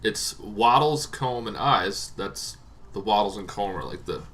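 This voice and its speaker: A man's voice: fairly low pitch, unhurried, a bit bright. A man giving an informal, conversational explainer on tabletop game monsters, wandering into asides as he goes. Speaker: tabletop game lore presenter.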